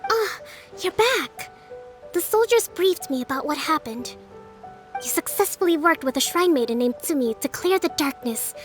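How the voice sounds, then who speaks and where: A woman voicing a composed, gracious young priestess leader in a video game, speaking in a measured, formal, explanatory way. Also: high voice, lively intonation, music in the background. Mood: welcoming. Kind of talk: dramatic video game acting.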